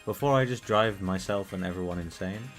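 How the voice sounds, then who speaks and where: A British man streaming a game, chatting casually in a rambling, profane way that he calls monotone. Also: deep voice, fairly quick, faint music behind. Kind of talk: casual live-stream chat while gaming.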